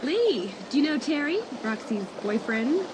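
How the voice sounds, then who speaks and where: Smug high-voiced teenage girl. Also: sing-song, unhurried, noisy background. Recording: outdoor water ambience behind.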